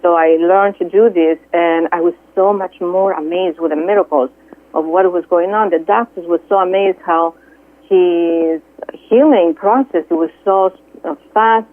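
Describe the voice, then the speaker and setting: Earnest Greek-accented woman on the phone. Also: lowish voice. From Greece. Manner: earnest, halting, with many fillers. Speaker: guest, Reiki healer. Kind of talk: interview over the phone. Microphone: telephone. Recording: tinny dry phone line.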